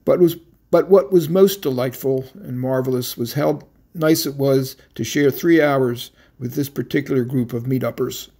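A man who organized a walking tour narrates a measured, appreciative recap of the outing as a voice-over. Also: medium pitch, dark tone, husky.

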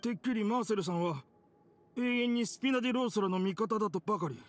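An actor playing a rough-spoken, loyal young gentleman in a Japanese video game, blunt and emotive. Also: sits high, quick pace, slight background noise, lightly acted. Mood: indignant. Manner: emotive, blunt casual speech.

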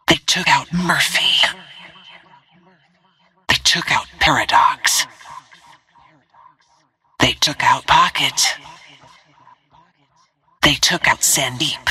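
An actress playing a cold, stealthy female assassin in a video game, speaking in terse, cool, menacing lines with a husky, breathy voice. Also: low-pitched, pushed and bright, heavily acted. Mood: clipped report. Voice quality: husky, breathy, near-whisper.

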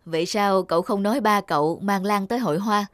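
A woman playing a gentle, devoted middle-aged florist in an animated drama, speaking softly and reflectively. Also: medium pitch, brisk, no echo, natural voice. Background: background music.

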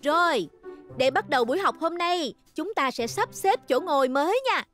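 High-voiced, cheerful schoolteacher. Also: female voice, animated, moderate pace, a bit bright, soft background music. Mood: instructive. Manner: addresses children in encouraging, instructive way. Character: cheerful, kindly woman schoolteacher. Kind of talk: Vietnamese cartoon dub.

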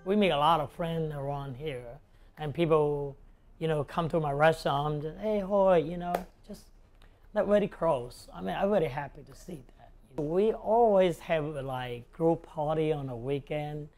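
A middle-aged restaurant owner from Hong Kong with a Cantonese accent, talking casually in halting English in an interview. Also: male voice, a little high, a little mellow. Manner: halting, non-native English phrasing. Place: indoors in a restaurant booth. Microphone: lapel.

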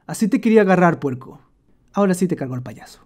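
A woman voicing a gruff, bossy male Roman emperor in a cartoon. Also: fairly low pitch, animated, quick pace, darkish tone, voice pushed down. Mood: gloating. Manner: blunt, commanding.

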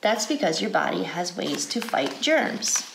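Slow, lively American woman reading to kids. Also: fairly low pitch, background noise. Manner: deliberate, clearly phrased, asks questions. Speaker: educator reading a picture book aloud. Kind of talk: guided read-aloud for children. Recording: roomy indoor echo.

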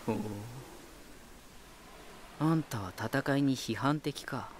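An actor playing a proud, ominous lighthouse spirit hardened by war, deliberate, cryptic and condescending, in a dramatic voice drama. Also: male voice, mid-range voice, slight background noise, close to an everyday voice. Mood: scornful. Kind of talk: dramatic Japanese voice drama acting.

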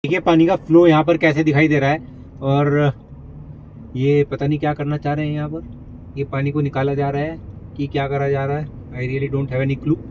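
A man's voice: a little high, little pitch movement, normal speed, a little mellow, highs cut off, a little hiss or hum. A man narrating a vlog as he drives, chatty and pointing things out to viewers, mixing English phrases into his talk. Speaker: vlogger. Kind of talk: casual narration while driving. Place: inside a car.

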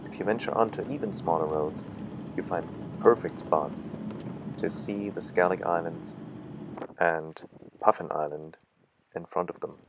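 A man giving calm, scripted voice-over narration for a travel video. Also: deep voice, a little slow, long pauses, noisy background.

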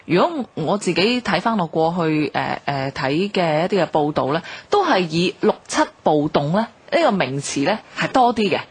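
A woman's voice: fairly low pitch, sing-song, normal speed, few pauses, slightly rough. A middle-aged woman with a Hong Kong accent, hosting a conversational radio interview. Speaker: radio host.

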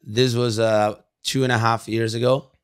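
A man's voice: mid-range voice, flat delivery, smooth. Upbeat man in his thirties. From Italy. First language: Italian. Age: 30s. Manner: upbeat, laughs easily, quick answers. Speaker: guest, network marketer. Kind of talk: casual interview chat. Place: studio. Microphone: studio mic on boom arm.

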